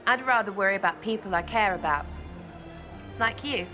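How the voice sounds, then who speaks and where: A blunt, mischievous young elf woman from a fantasy video game, voiced by an actress. Her British voice is bright and forceful, at a middle pitch. She talks in clipped, irreverent slang over faint music. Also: moderate pace, close to an everyday voice. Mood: wistful, blunt. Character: cheeky, blunt young female elf rogue. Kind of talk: video game dialogue acting.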